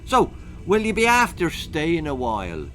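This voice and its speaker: A man's voice: fairly high pitch, wildly swooping pitch, measured pace, fairly bright tone, faint music behind, voice pushed up, exaggerated voice. A man voicing a sly, scruffy little leprechaun with a folksy Irish brogue in a children's story. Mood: jovial.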